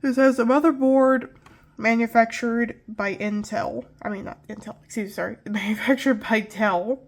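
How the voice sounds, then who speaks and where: A young woman hosts a relaxed tech video, her voice nasal from pollen allergies. She talks in a loose, rambling way, doubling back to correct herself and apologize as she goes. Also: fairly high pitch, normal speed. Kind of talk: casual hardware walkthrough.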